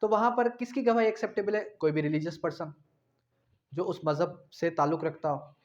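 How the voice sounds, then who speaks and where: A man giving an explanatory law lecture, repeating key phrases slowly and asking rhetorical questions in a didactic way. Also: on the high side. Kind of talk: explanatory law lecture, mixing in English terms. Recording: dull, muffled lo-fi recording.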